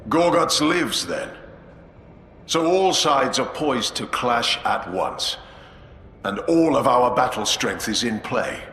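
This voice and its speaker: An actor playing a grave, wary veteran space marine commander in a game cutscene, formal and measured. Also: male voice, mid-range voice, expressive, fairly bright tone, echoey room, a little hiss or hum. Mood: solemn, wary. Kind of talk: dramatic game cutscene acting.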